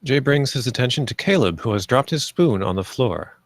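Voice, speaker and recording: A man giving scripted narration, reading screenplay stage directions in a plain, measured manner. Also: mid-range voice, animated, no echo.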